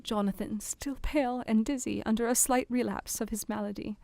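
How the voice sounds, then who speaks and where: A woman's voice: a little high, fairly even intonation, fairly quick, creaky, a little mellow, no room sound. An actress playing a sorrowful young Victorian wife, tenderly and mournfully reading her journal in an audio drama. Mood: weary, sad.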